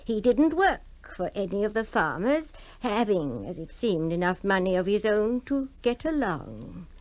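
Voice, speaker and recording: A woman playing an old granny who tells sinister fireside tales in a radio drama, hushed and confiding, with deliberate pauses. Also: mid-range voice. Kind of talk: 1960s radio drama storytelling.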